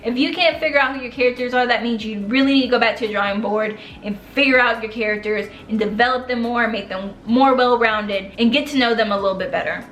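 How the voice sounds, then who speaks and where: A woman's voice: on the high side, fast, hardly any pauses, a bit bright. A young adult woman giving writing tips in a casual, rambling way, full of slang. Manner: chatty, rambling, uses slang. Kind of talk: casual talk to camera. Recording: echoey room, faint noise.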